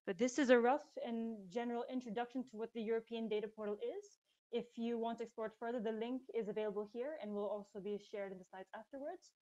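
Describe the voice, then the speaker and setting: A woman delivers a scripted webinar presentation in a steady, informative tone. Her voice sits a little high, dry, and slightly muffled, as over a video call. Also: fast talker, no room sound. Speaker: conference presenter.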